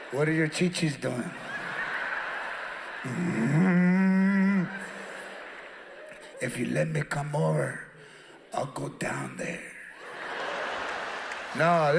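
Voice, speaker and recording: Guy doing drunk dude calling late at night, low slurry pleading voice. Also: extremely slow, creaky, long pauses, background noise, exaggerated voice. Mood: wheedling.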